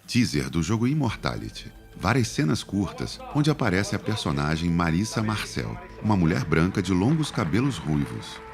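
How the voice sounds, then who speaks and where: Deep-voiced man narrating over music. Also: very animated, moderate pace, creaky, hardly any pauses. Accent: Brazilian Portuguese. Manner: plain descriptive reading. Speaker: audio description narrator. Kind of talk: scripted narration. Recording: music underneath.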